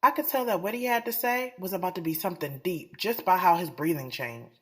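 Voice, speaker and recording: A woman's voice: fairly low pitch, brisk, slightly rough. An actress playing a blunt, guarded, selfish woman who tells her own love story in slangy, profane audio drama. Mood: impatient. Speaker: narrator and lead of an audio drama. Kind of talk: first-person confessional audio drama, slangy.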